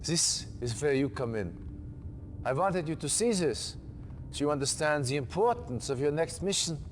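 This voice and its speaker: A man's voice: fairly high pitch, lively intonation, moderate pace, slight background noise, lightly acted. An actor playing a firm male military officer briefing a subordinate in a game cutscene. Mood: commanding. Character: stern male military commander giving orders.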